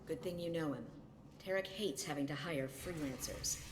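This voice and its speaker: A cynical female mercenary from a video game , acted by a woman, low in pitch. She is blunt and world-weary, and her words ring with a long, echoing reverb. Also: normal speed, natural voice. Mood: matter-of-fact. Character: hardened, cynical female mercenary lieutenant, exasperated and matter-of-fact.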